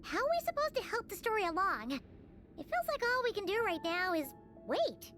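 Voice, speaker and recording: A woman voicing a small, excitable female sprite companion in a game, bubbly and chatty. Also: extremely high pitch, moderate pace, full character voice. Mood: excited. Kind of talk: game cutscene voice acting. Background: game music.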